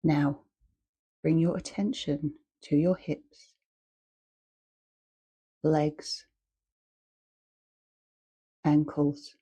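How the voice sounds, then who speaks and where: A woman leading a guided meditation as a teacher, speaking calmly and in short, measured phrases with long pauses. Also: sits low, slightly rough, muffled recording.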